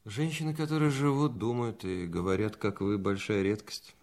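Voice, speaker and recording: A man's voice: fairly low pitch, steady tone, moderate pace, darkish tone, lightly acted. An actor playing a courteous, admiring bachelor in his thirties in a drama, polite and earnest. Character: courteous, admiring thirty-one-year-old bachelor gentleman. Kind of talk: acted radio-play style dialogue. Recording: music playing behind the voice.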